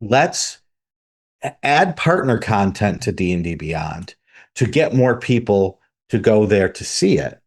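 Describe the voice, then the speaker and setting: A man co-hosting a podcast, talking through business news in a measured, step-by-step way. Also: on the low side, very animated, breathy edge. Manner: measured, explains step by step with sports analogies. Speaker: podcast co-host. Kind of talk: casual analytical chat.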